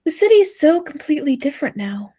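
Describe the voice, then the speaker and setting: High, soft-toned, uneasy time-travel companion played by a woman. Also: big pitch swings, fast. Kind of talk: 1940s-style radio play acting.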